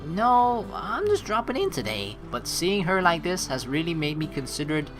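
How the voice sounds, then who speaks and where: A young woman narrating a game playthrough in a notably low voice with lively swings in pitch. She mixes reading aloud with chatty commentary. Music plays softly behind her. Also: moderate pace. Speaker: let's play streamer.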